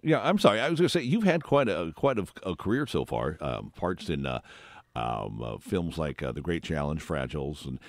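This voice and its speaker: A man's voice: a bass voice, big pitch swings, normal speed, dry recording. A middle-aged man hosting a morning radio show, rambling and stumbling through questions. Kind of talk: radio interview chat. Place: radio studio.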